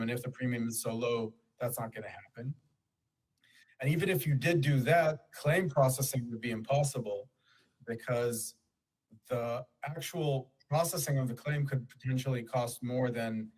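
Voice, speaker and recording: Man giving a measured conference talk. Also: mid-range voice, a little mellow, poor audio. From Kenya. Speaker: conference speaker for a nonprofit. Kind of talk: conference presentation.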